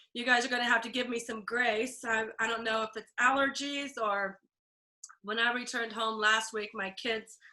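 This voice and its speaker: A woman hosting a video call for her team, upbeat and chatty, with a stuffy, scratchy throat and frequent throat clearing. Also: fairly high pitch, sharp tone. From Texas.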